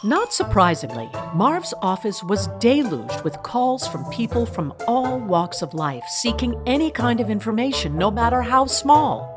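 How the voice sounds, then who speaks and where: A woman's voice: fairly high pitch, big pitch swings, a little slow, hardly any pauses, over music. A woman delivering scripted narration for a mock documentary.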